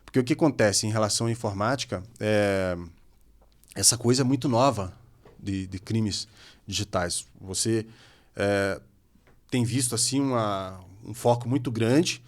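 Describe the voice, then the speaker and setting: A man's voice: fairly low pitch, a little slow. A man in his forties from Minas Gerais with a Curitiba accent, a police officer telling his story as a podcast guest with easy humor. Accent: Paraná (Curitiba). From Minas Gerais, Brazil. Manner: storytelling, conversational, self-deprecating humor. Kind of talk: casual podcast chat.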